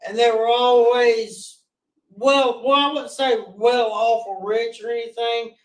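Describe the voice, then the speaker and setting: Loud-voiced guy in his 40s from north carolina, kinda high, rambles his story, echoey and off mic. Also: fairly even intonation, unhurried, recorded from a distance. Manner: earnest, rambling with restarts. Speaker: podcast guest. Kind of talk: telling his life story. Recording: roomy, off-mic sound.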